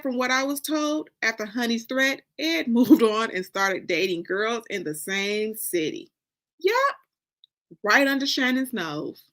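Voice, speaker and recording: An American woman from Detroit giving a dramatic first-person story reading, with deliberate, expressive phrasing and pauses. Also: on the high side. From Detroit, United States. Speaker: author reading from a novel.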